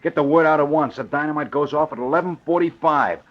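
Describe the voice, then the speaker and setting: An actor playing a tough, plain-spoken crook, a gang underling, in 1940s radio style on a muffled old recording. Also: male voice, a little high, fast talker, lightly acted. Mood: businesslike. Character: short husky red-haired crook, talkative underling.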